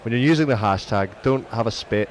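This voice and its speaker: A man's voice: mid-range voice, a little fast, slight background noise, poor audio. A man co-hosting a cricket radio show, reading out listener messages in chatty, rambling banter. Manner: chatty, rambling, self-correcting.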